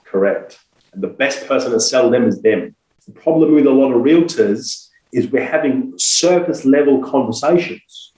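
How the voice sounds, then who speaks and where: A man's voice: medium pitch, normal speed, a little mellow, roomy sound, rough recording. A man with a cold, speaking as a sales coach guest on a podcast interview. He talks emphatically and persuasively, leaning on rhetorical questions. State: has a cold.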